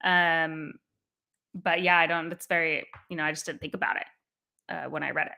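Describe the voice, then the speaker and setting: A woman's voice: deep voice, flat delivery, moderate pace, vocal fry, sharp tone. A woman hosting a casual book discussion, talking in a rambling way with lots of fillers and restarts. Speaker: booktube host.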